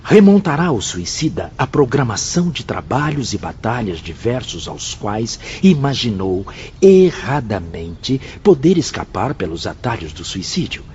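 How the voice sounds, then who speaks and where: A man's voice: medium pitch, big pitch swings, unhurried, darkish tone. A Brazilian actor playing an earnest, solemn man in a radio drama, reciting emphatically. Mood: solemn warning. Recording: dull lo-fi audio, faint background noise.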